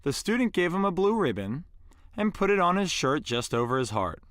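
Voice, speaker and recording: A man presenting and reading an inspirational story aloud in an earnest, deliberate way with dramatic pauses. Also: fairly high pitch, big pitch swings, fairly bright tone, no echo, studio quality.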